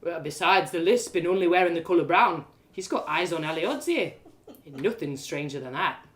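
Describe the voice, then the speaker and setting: A woman's voice: fairly low pitch, expressive, normal speed, close to an everyday voice. A woman voicing a smug, teasing older brother, a rustic farm lad in a storybook tale. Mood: mocking. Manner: mocking, rustic phrasing. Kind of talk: storybook character voice.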